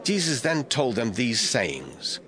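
A man narrating a scripture audio drama from a script in a deliberate storytelling manner. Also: medium pitch, sing-song, a bit bright, some chatter behind. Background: faint music.

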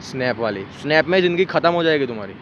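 Young man, vlogger, chatty and joking, fairly high voice, phone held at arm's length, outdoors, loud street noise. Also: animated, quick pace. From India. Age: young adult. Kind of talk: casual vlog narration to camera. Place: outdoors on a city street and riverfront. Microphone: phone camera at arm's length. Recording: noisy outdoor phone recording. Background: traffic and street noise.

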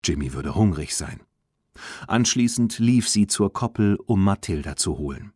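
A man reading a children's audiobook as an expressive, clearly enunciated scripted narration. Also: deep voice, moderate pace, no echo, clean studio sound.

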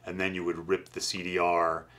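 A middle-aged man giving a casual technical explanation in a measured, thoughtful way. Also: low-pitched, completely flat delivery, fairly bright tone. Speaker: company founder presenting his audio gear. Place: small wood-furnished listening room indoors.

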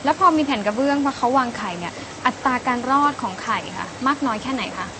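High, quick-talking female reporter over noise. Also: expressive. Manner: polite, inquisitive. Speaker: reporter interviewing an expert. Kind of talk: on-site interview. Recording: clear background noise on location.

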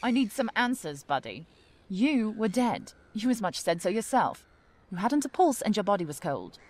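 An actress playing a feisty, skeptical, indignant woman in an audio drama, sharp-tongued and sarcastic. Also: mid-range voice, very animated, moderate pace.

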